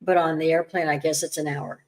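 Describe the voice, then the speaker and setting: A woman's voice: low-pitched, fairly even intonation, brisk, a little mellow. A woman co-hosting a casual livestream chat, adding friendly, talkative asides. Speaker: co-host.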